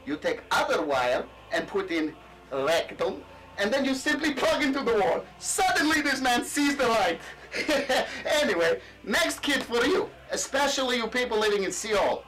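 A man's voice: extremely high pitch, lively intonation, normal speed, fairly bright tone, distant mic, rough recording. An actor playing a boastful middle-aged Russian ex-KGB surplus peddler with a Russian accent, talking in broken English salesman patter. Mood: pitching.

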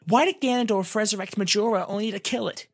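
Man putting on a squeaky, indignant little fairy. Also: fast talker, slightly rough, dry recording, studio quality, faint music behind. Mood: questioning. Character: small indignant female fairy companion, sour and pushy.

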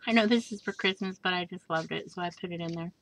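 A woman casually showing a handmade journal page by page, chatty and gushing, with soft asides and plenty of fillers. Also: on the low side, fast, no room sound. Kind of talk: casual show-and-tell craft walkthrough. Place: indoors at a craft table. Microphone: overhead camera above a craft table.

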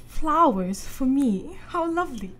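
A woman playing a sweet, trusting young lady in a radio drama, genteel and gently teasing. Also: sits high, expressive, a little slow. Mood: playful curiosity.

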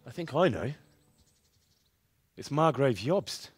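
A man voicing an earnest young medieval commoner in a game cutscene, speaking with a quiet, self-reproaching manner. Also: medium pitch, big pitch swings, slow, slightly rough, soft background music, heavily acted. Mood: grieving. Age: young adult.

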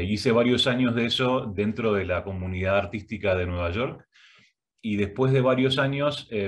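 A middle-aged man with an Argentine Spanish accent, giving a prerecorded video talk from a home office. He hesitates often, with many filler sounds and restarts. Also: lowish voice. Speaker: guest speaker, arts education director. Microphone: webcam, head and shoulders framing.

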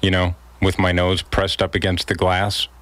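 A man's voice: low-pitched, monotone, normal speed, a bit bright. A man working as a radio DJ, talking in playful classic rock patter and bantering with callers. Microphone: radio studio microphone. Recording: music behind the voice. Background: rock music.

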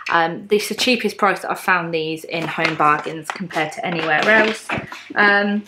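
A woman's voice: lowish voice, moderate pace, noisy background. Chatty woman showing her shopping haul. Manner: chatty, upbeat, lots of ums, shows each item to camera. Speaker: haul vlogger. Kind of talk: casual chatty product haul. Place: bright home living room, indoors.

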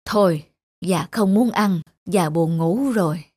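A woman's voice: fairly low pitch, slow pace, a little mellow, slightly rough, no echo, studio quality. A weary, frail old beggar woman. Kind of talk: Vietnamese animated folk tale voice acting.

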